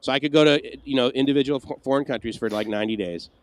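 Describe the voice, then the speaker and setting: A man's voice: medium pitch, fast talker, no echo. A man co-hosting a livestream Q&A, chatting casually and rambling with asides and restarts. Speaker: livestream co-host. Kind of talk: casual Q&A chat.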